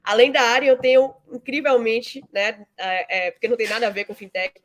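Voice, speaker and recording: A woman's voice: on the high side, steady tone, a little fast. Woman explaining in conversation. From Salvador, Bahia, Brazil. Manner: frequent 'né' tags, explanatory. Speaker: interview guest, fintech founder and CEO. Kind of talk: conversational interview answers.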